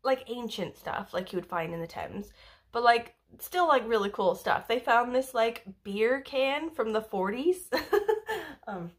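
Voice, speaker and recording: A woman's voice: on the high side, lively intonation, a little slow. A young woman from Wales chatting casually about books, rambling with lots of fillers and the odd swear word. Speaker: book vlogger. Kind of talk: casual book review chat.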